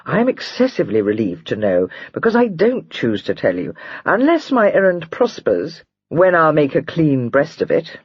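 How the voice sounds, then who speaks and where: A narrator voicing a gentle, wry young husband who talks in a soft, dry, gently provoking way. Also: male voice, medium pitch, lively intonation, normal speed, husky, no room sound, dull audio. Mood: teasing.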